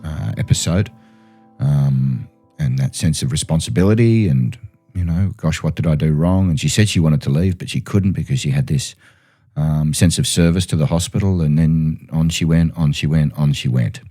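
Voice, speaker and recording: A man with a very deep, mellow voice hosts a reflective conversation. He talks through his thoughts and repeats phrases to drive them home. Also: moderate pace, soft background music. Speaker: podcast host. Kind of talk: reflective discussion of a story.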